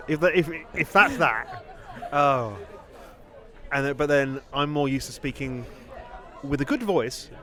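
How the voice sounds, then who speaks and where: A man's voice: a little high, huge pitch swings, takes it slowly, long pauses, sharp tone, a faint crowd. A man hosting a podcast in rambling, bantering casual chat. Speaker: podcast host.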